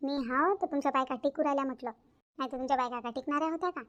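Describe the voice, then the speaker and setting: A woman acts out a proud village mother-in-law from a family cartoon. Her voice is high and her talk rattles along quickly, chatty and emphatic. She has a folksy Varhadi accent and a bossy, self-satisfied manner. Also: a bit bright, muffled recording. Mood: boastful. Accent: Varhadi Marathi of Vidarbha, India. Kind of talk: Marathi family cartoon story acting.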